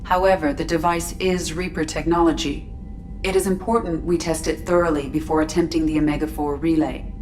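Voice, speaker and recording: A woman voicing a low, distant, precise ship AI. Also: steady tone, darkish tone, close to an everyday voice. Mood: calm, clinical. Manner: measured, formal, precise. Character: calm female ship artificial intelligence, precise and dispassionate. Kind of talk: video game voice acting. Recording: slightly distant, faint music behind.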